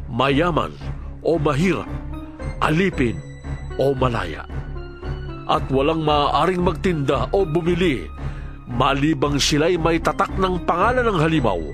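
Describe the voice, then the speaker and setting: A man narrating a Bible audio drama in a solemn, deliberate way, with dramatic swells in his delivery. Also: mid-range voice. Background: music.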